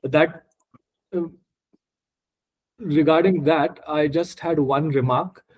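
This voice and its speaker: Higher-pitched Indian man teaching. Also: steady tone, slow pace, poor audio. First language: Marathi. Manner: discursive, explains word by word. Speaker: teacher of a scripture study group. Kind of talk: informal explanatory lesson with questions.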